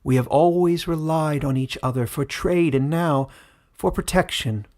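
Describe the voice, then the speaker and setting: Man voicing a proud, high-pitched warrior queen. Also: measured pace, darkish tone, lightly acted. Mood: reassuring, persuasive. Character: proud, scheming warrior queen of a fantasy people.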